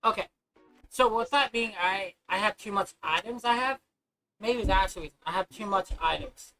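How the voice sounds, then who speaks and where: Hoarse, rambling woman streamer. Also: medium pitch, moderate pace, a bit bright, faint music behind, rough recording. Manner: rambling, loose, with many restarts. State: scratchy, hoarse voice. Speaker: gaming livestreamer. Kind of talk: casual chat while playing.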